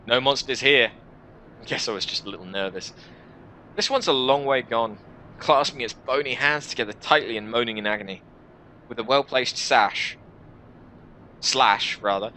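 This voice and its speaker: A man hosting a gaming video, reading a visual novel aloud in an expressive, theatrical way with casual asides. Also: mid-range voice, a little hiss or hum.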